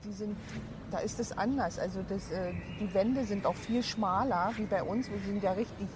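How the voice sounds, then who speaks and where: Middle-aged woman, chatty and halting, recorded outdoors with street noise. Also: medium pitch. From Berlin, Germany. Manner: chatty, halting with restarts and fillers. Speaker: interviewee, resident. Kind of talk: casual chat. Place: outdoors by a high-rise block. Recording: outdoors with loud background noise.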